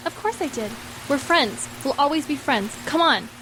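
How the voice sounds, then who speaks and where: A woman playing a steady, loyal, slightly uncanny teenage girl, quiet and matter-of-fact, in an audio horror drama. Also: high-pitched, moderate pace. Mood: quietly urgent. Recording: hiss and rain behind the voice.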